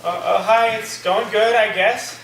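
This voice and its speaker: A man's voice: high voice, steady tone, unhurried, vocal fry. A man playing a polite, awkward young new college student in a staged reading, speaking hesitantly. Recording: very echoey, distant recording with faint noise.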